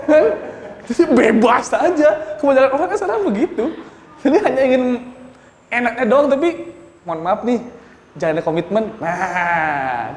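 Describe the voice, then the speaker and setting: A man's voice: very high voice, darkish tone, a little hiss or hum. A man in his twenties giving joking, cheeky answers in a casual interview. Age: 20s. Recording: echoey room with long reverb.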